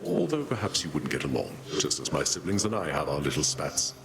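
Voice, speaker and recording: A grim war god, his voice very low and cold as he savors talk of death and cruelty. Loud music surges behind him. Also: big pitch swings, gravelly, full character voice. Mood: menacing. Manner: slow, menacing, relishing violence. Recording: game dialogue over loud music.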